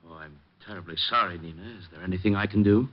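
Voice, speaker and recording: An actor playing a smooth, oily museum collector who turns out to be the villain in a 1950s radio drama. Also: male voice, low-pitched, quick pace, lightly acted. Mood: sly. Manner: oily politeness that turns threatening. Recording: muffled old radio broadcast.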